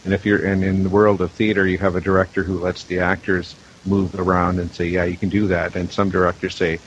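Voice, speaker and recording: A man talking casually on a panel as an audio producer, with measured, hesitant phrasing. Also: low voice, level tone. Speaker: audio producer and sound designer. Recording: muffled, slightly noisy line.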